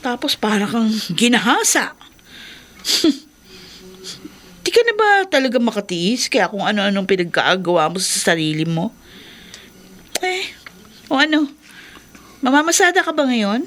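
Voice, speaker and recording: A scornful wife in a radio drama. Her high voice swoops animatedly as she nags and mocks with sharp questions. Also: sing-song, unhurried, pauses often. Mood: mocking. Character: scornful, nagging working wife.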